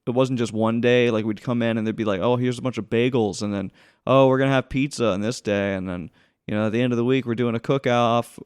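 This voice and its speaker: A man chatting casually as a podcast co-host, grumbling in a halting, informal way. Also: medium pitch, steady tone, clear, resonant tone, no room sound, studio quality. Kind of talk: casual chat.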